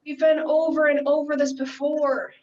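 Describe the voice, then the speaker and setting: Insistent, exasperated sensible type, played by a teen girl; high voice, theatrical emphasis, distant pickup. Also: little pitch movement, moderate pace, a little mellow, slight background noise, natural voice. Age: teenager. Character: exasperated, insistent voice of reason coaxing a mime. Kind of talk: school one-act comedy stage acting. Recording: dull, distant school play recording.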